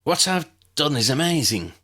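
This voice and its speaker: A man's voice: fairly high pitch, sing-song, moderate pace, sharp tone, voice pushed up, heavily acted. A man voicing an angry, boastful wizard villain in an audiobook, proud and defiant with a thin, weak voice. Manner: proud, defiant, with a dry cough. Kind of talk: audiobook character voice.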